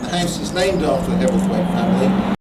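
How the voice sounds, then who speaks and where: A man's voice: high voice, a little fast, heavy background noise. A man casually guiding a group through an old house, chatty, with frequent ums and restarts. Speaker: house owner giving a guided tour. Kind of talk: casual guided tour. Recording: roomy indoor sound.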